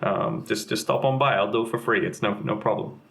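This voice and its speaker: A man's voice: fairly low pitch, a little fast, gravelly, a bit bright. Casting: young man guest, rambling and filler-heavy, conversational. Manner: rambling, lots of fillers and restarts. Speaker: game community manager and streamer. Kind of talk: casual interview chat.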